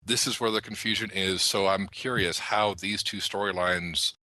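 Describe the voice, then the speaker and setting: A man's voice: low-pitched, fairly even intonation, normal speed, pushed and bright, no room sound, poor audio. A man on a podcast panel explaining points in precise, matter-of-fact, rather formal phrasing.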